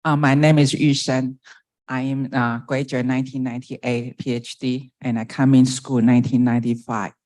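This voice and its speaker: Taiwanese woman in her sixties, halting. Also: rumbling low, little pitch movement, darkish tone. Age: 60s. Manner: halting, searching for words, many restarts. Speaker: former doctoral student speaking at a memorial. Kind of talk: personal remembrance speech.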